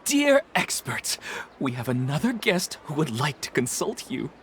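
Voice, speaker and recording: A formal, coy game attendant. Her voice is strikingly low and unhurried, with big dramatic swings in intonation. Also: big pitch swings, slight background noise. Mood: polite, coy. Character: courteous, secretive event attendant announcing a guest. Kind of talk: video game character dialogue.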